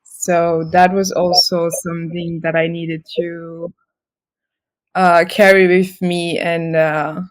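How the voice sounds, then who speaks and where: A young woman, a model being interviewed in a casual talk, speaking haltingly with many fillers and restarts. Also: fairly low pitch. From Senegal. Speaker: guest, model.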